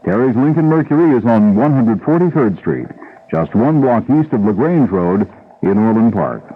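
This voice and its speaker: A man reading a scripted, emphatic sales pitch as a commercial announcer on an old radio broadcast. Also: big pitch swings, normal speed, breathy edge, narrow, tinny audio.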